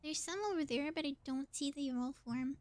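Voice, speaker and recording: A woman's voice: sits high, steady tone, a little fast, dry recording. A woman doing casual live game commentary as a streamer, chatty and thinking aloud as she plays.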